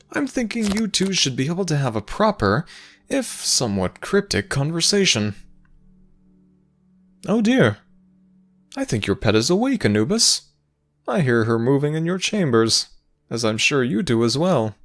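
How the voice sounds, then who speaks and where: A man playing a playful, teasing god of the afterlife in a fantasy audio roleplay, speaking in a sly, measured, theatrical way. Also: a little high, big pitch swings, faint music behind. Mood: teasing, amused. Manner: theatrical, sly, measured storytelling with dramatic pauses.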